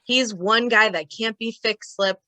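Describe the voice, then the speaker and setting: Lady doing a nervy streetwise mob sidekick, slangy, sharp bright voice, old radio style, dry sound. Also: on the high side, unhurried, no room sound. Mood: worried. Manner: slangy, ungrammatical street talk. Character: anxious, loyal gangster sidekick, streetwise. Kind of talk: old time radio gangster acting.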